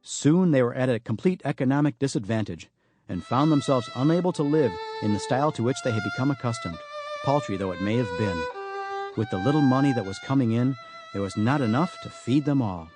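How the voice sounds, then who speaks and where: A man's voice: mid-range voice, expressive, a little fast, over music. A man reading a satirical storybook as scripted audiobook narration. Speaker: author reading own audiobook.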